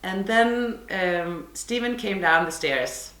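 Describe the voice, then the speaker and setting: A woman's voice: mid-range voice, lively intonation, takes it slowly, a bit bright, reverberant, poor audio. A woman from Denmark with a Danish accent, hosting her podcast from her living room in a chatty, self-deprecating monologue. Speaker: podcast host. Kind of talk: casual monologue to camera.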